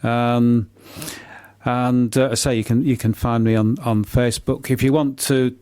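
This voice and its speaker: Middle-aged male radio guest, writer, mid-pitched, hesitant and repetitive in unscripted answers, recorded dry. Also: level tone, a little mellow, clean tone, no room sound. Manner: hesitant, halting, often repeating words. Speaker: author and poet being interviewed.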